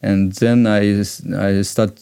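Low, mellow Ukrainian man, halting English. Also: little pitch movement, vocal fry, clear, resonant tone. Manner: halting, searching for words in non-native English. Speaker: podcast guest. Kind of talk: conversational interview answers.

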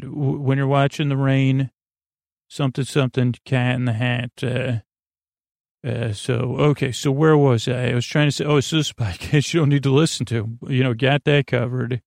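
Creaky low-key guy rambling a bedtime podcast, trails off and repeats himself, kinda goofy, really dry close sound. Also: no echo. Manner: meandering, halting, often trailing off and repeating words; gently goofy, whimsical. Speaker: podcast host. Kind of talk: rambling bedtime monologue to help listeners sleep.

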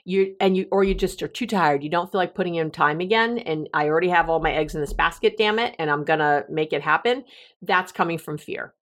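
A woman's voice: lowish voice, a little fast, a bit bright. Chatty, self-joking woman podcast host. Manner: chatty, joking, laughs at herself, frequent restarts. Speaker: podcast host giving relationship advice. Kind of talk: casual solo podcast talk.

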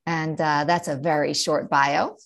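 A woman in her forties with a New York accent talks in a low voice with a gravelly edge. She explains her work with confidence, piling up examples in long lists. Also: flat delivery, a little slow. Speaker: guest, educator and author. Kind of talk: podcast interview.

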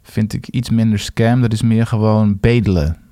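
A man with a Netherlands Dutch accent and a hesitant, halting way of talking, co-hosting a casual podcast. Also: lowish voice, fairly even intonation, warm tone, dry recording, studio quality. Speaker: podcast host.

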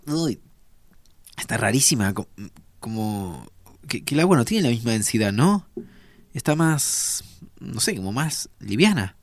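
Sympathetic Argentine friend, dry sound. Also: male voice, medium pitch, very animated, a little slow, dry recording. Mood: curious, amazed. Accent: Rioplatense Argentine. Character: sympathetic, curious male friend, a visiting time traveller. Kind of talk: naturalistic audio drama dialogue.

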